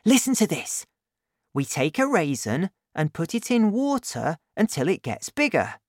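A man reading an audiobook, voicing an eager, clever young boy with a raised pitch and excited questions. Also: a little slow, no room sound, clean studio sound, exaggerated voice. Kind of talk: audiobook character voice.

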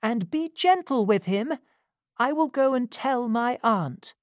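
Rose, a woman voicing a gentle pleading young lady. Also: fairly high pitch, expressive, takes it slowly, dry recording, clean studio sound, pitched above the performer's own voice. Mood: tender.

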